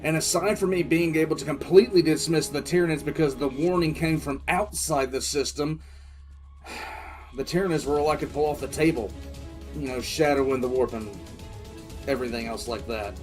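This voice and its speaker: A man playing a stern, battle-weary military commissar, telling his story in a confiding, dramatic first-person audio drama style with pauses. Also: a little high, close to an everyday voice. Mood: brooding, weary. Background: music bed.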